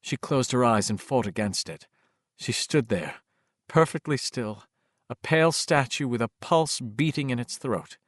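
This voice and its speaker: A man reading horror fiction as a dramatic, expressive audiobook narrator, with a suspenseful delivery. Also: mid-range voice, a little slow, dry recording, clean studio sound.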